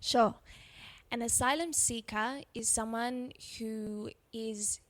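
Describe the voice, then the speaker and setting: A woman's voice: fairly high pitch, fairly even intonation, slow pace, fairly bright tone, dry recording. A young woman with an Australian accent, an aid worker answering interview questions in a friendly, earnest way.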